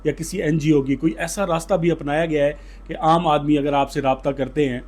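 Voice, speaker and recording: A man's voice: a little high, steady tone, moderate pace, a little mellow. A man with a Pakistani accent hosting an informal interview, speaking in hesitant, wordy phrases full of filler words. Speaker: interviewer and host.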